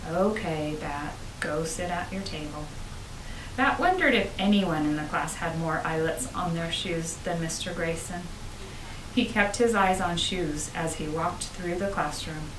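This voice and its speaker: A woman reading a children's book aloud with expressive storytelling, as a school principal would to pupils. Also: on the low side, measured pace. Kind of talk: children's audiobook narration. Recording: roomy, distant, noisy home-style recording.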